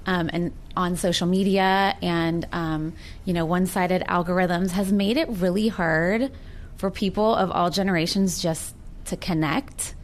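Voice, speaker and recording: A woman's voice: lowish voice, steady tone, unhurried. An American woman in her forties talking earnestly and reflectively in a sincere personal conversation. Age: 40s. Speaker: participant in a recorded one-on-one conversation.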